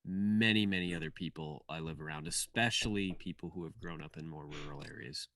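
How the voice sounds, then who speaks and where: Low-voiced laid-back podcast co-host man. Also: brisk, bright, forceful tone, a little hiss or hum. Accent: subtle Michigan. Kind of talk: casual chat.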